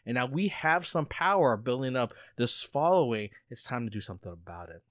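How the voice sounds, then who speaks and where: A man's voice: mid-range voice, animated, a little fast, no room sound, clean studio sound. A middle-aged man co-hosting a casual podcast, talking at length and dropping frequent "like" fillers. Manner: talkative, frequent "like" fillers, reflective. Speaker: podcast co-host.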